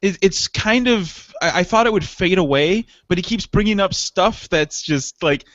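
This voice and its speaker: High-voiced young man, dry lo-fi sound. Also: moderate pace, fairly bright tone, dry recording. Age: 20s. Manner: rambling, laughing, profane. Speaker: podcast co-host. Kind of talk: casual chat.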